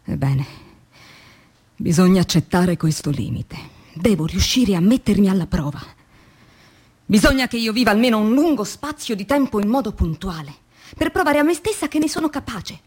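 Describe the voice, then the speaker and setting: An actress playing a young, tormented philosophy teacher turned factory worker, in a radio drama monologue with measured, deliberate phrasing and dramatic pauses. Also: female voice, medium pitch, expressive, a little mellow. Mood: anguished, reflective. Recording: clearly noisy recording with ambient sound.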